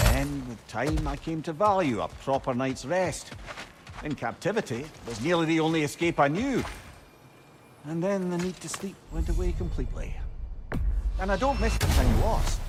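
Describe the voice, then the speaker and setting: A man's voice: a little high, very noisy, lightly acted. An actor playing a wry, reflective old male companion in a video game, talking in an eloquent, confiding storyteller's way. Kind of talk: dramatic video game acting.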